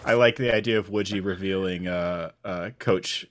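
Wry podcast co-host, low voice, joking delivery. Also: male voice, fairly even intonation, normal speed, a bit bright. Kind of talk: casual podcast chat.

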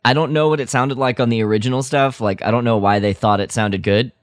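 A man co-hosting a podcast, chatting informally and thinking aloud in a halting way. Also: medium pitch, steady tone, no room sound, clean studio sound. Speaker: podcast co-host. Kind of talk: casual chat, media discussion.

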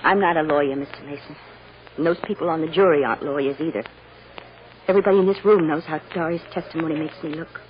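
A woman's voice: low voice, fast talker, lightly acted. An actress playing a despairing accused mother, emotional and resigned, in 1940s radio drama style. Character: distraught, despairing young mother on trial for murder. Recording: muffled, hissy old radio recording.

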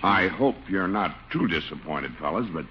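A man's voice: low voice, animated, normal speed, noisy background. An actor playing a jovial, reasonable male club chief in 1940s radio comedy. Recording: muffled old radio broadcast.

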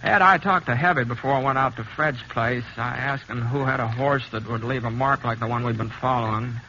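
An actor playing a laconic, steady Old West drifter who tells his tale in a folksy, understated way in 1950s radio drama style. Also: mid-range voice, brisk, a little hiss or hum. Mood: quietly insistent. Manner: folksy, understated, plain-spoken. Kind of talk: 1950s Western radio drama acting and first-person narration. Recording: muffled old 1950s radio recording, music behind.

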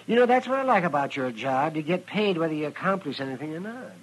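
An actor playing a wry, crotchety older frontier doctor who mutters and teases in an old-time radio western. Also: male voice, on the high side, animated, fast, breathy edge. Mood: hearty, teasing. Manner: muttering, teasing, full of ohs and wells. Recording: noisy vintage radio recording.

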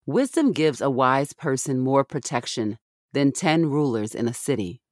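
A woman reads scripture with a solemn, deliberate delivery. Each phrase is set out in a measured way for an audio Bible podcast, and the sound is very dry, with little room around it. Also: very deep voice, animated, no room sound.

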